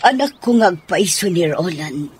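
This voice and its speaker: A woman's voice: on the low side, lively intonation, unhurried, darkish tone. A woman with an Ilocano accent acting as an older, sympathetic mother-in-law who is indignant at her son, in a radio drama. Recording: noticeable background noise.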